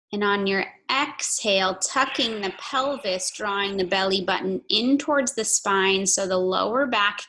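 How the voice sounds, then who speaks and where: A woman yoga instructor giving soothing, measured breathing and movement cues with audible breaths. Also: medium pitch, vocal fry, bright, forceful tone, rough recording.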